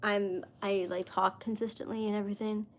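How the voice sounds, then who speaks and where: A woman's voice: mid-range voice, little pitch movement, fairly quick. A woman in her mid-thirties chatting casually on a live stream, rambling with lots of fillers as she talks to viewers. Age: 30s.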